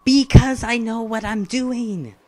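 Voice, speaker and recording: A man playing a flamboyant, boastful, playful villain leader in an animated fantasy drama, theatrical and self-assured. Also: very high-pitched, slow pace. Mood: playful bossy. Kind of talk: scripted animated drama dialogue. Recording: background music under the voice.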